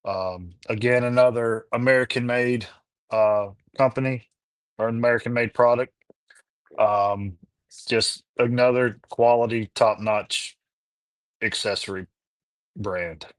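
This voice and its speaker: A man hosting a podcast, talking in a slow, hesitant way with lots of fillers while reading a sponsor spot and chatting. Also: mid-range voice, steady tone, dry recording. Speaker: podcast host. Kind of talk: casual podcast chat with sponsor read.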